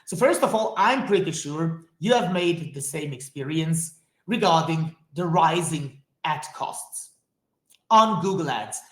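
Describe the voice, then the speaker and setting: A man's voice: fairly high pitch, lively intonation, unhurried, a bit bright, echoey room. A man from Hungary, a native Hungarian speaker with a Hungarian accent, giving an enthusiastic, friendly online webinar presentation and speaking straight to his audience.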